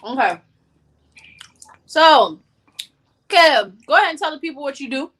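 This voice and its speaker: Young woman, high-pitched, bright forceful tone, playful, slangy, casual podcast host. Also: lively intonation, normal speed, slight background noise. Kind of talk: casual chat.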